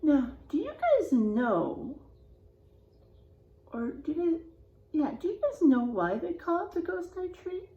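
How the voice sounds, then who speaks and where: A woman reading a picture book aloud to children, pausing to ask them questions. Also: high-pitched, big pitch swings, roomy sound, highs cut off. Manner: slow, asks children questions. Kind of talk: children's storybook read-aloud.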